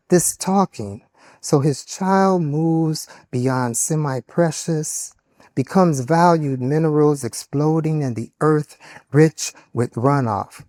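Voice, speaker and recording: A man reading his own poems aloud at a literary panel, slow and deliberate in his delivery. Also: medium pitch, darkish tone. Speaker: poet. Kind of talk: poetry reading.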